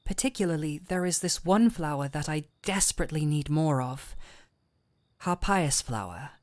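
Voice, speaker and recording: Audio roleplay actress as kindly woman physician: deep for a woman, close dry recording, soothing and tender. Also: lively intonation, no echo. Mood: earnest, explaining. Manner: gentle, unhurried, soothing. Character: gentle, caring fantasy physician woman, patient and softly teasing. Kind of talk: intimate scripted audio roleplay.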